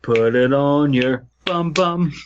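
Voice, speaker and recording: A man's voice: fairly high pitch, slow. A man hosting a podcast, joking casually with a group in a dull lo-fi recording. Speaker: podcast host. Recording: dull lo-fi audio, faint noise.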